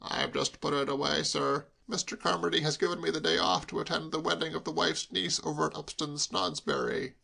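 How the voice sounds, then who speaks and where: A rambling, deferential chauffeur with a polite, proper manner, as a male narrator puts him on. He chats on at length in a slightly raised, gravelly voice. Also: on the high side, fairly even intonation, brisk, few pauses, pushed and bright, heavily acted. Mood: chatty. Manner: deferential, long-winded, rambling. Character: chatty, proper working-class male chauffeur.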